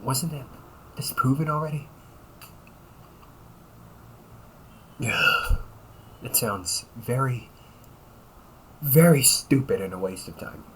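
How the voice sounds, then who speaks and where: A man's voice: mid-range voice, expressive, measured pace, long pauses, slight background noise, natural voice. A man playing a cynical, teasing vampire cop in an audio roleplay, talking in a slangy, sarcastic and flippant way. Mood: dismissive, irritated. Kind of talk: audio roleplay, casual conversational acting.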